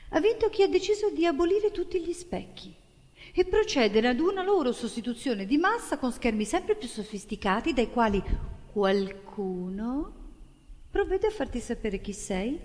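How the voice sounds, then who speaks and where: Female stage actress, fairly high voice, declamatory monologue, very varied intonation, long reverb. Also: creaky, darkish tone. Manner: declamatory, with emphatic pauses. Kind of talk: theatrical monologue recitation.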